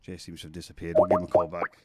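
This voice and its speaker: A man's voice: low voice, monotone, very fast. A man with a cold, chatting casually while giving a music production tutorial at his computer. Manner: chatty, rambling, self-correcting. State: has a cold, sniffly. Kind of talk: casual screen-share tutorial.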